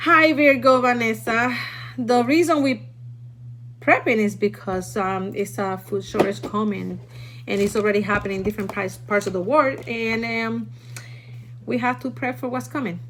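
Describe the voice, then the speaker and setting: A woman's voice: a little high, steady tone, normal speed, a bit bright. A woman from Puerto Rico with a Puerto Rican accent, a native Spanish speaker, chatting and instructing casually as host of a livestream. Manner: chatty, instructive, often tags sentences with "okay?". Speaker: livestream host teaching food storage. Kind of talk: casual livestream chat and demonstration. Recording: noisy room, live stream.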